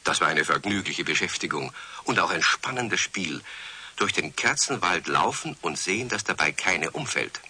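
Male narrator for a children's radio story: deep, forceful, sharp-edged, expressive delivery. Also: normal speed, breathy edge.

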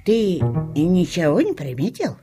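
A woman's voice: lowish voice, very animated, a little slow, over music. An actress playing a bossy, sly old fairy-tale witch with a folksy, coaxing, commanding way of talking, in an audio play. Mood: suspicious. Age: older.

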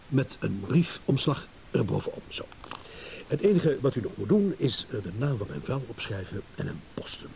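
An actor playing a self-assured, impatient philandering husband in a radio play, sardonic, blunt and mocking. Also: male voice, mid-range voice, creaky, mellow, low-quality recording. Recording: hissy old radio play recording.

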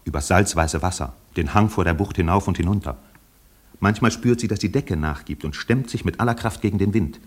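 An actor playing a wry narrator in a literary radio play, telling it in a measured, lyrical way. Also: male voice, deep voice.

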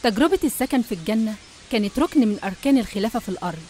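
Quick Egyptian woman storyteller. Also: a little high, animated, warm tone, a little hiss or hum. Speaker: narrator. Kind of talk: scripted storytelling for animation.

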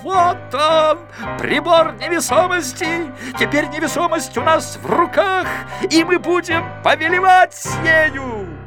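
A man puts on a tiny, excitable inventor from a children's audio tale. The voice is pitched very high and swoops boldly. He declaims with proud triumph. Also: takes it slowly, over music, full character voice. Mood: triumphant. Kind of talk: children's audiobook character acting.

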